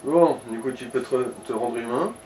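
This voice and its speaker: A man's voice: mid-range voice, a little fast, a little mellow, a little hiss or hum. A man giving casual commentary and advice to someone playing a video game. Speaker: co-commentator advising the player. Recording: echoey room, music behind.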